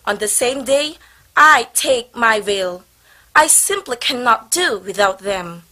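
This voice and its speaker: Imperious, self-willed princess woman actor, stage play; slow delivery, sharp bright tone. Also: fairly high pitch, expressive, full character voice. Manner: imperious, demanding. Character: haughty, self-willed Spanish princess demanding her way. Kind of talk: amateur historical stage play acting.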